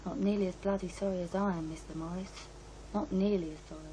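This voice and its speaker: A woman's voice: fairly low pitch, steady tone, quick pace, dark tone, close to an everyday voice. An actress playing an aggrieved British woman in her twenties, confiding and colloquial, in a radio drama. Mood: bitter, resentful. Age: 20s. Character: bitter, trapped young British woman of twenty-three. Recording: noisy radio play scene with ambience.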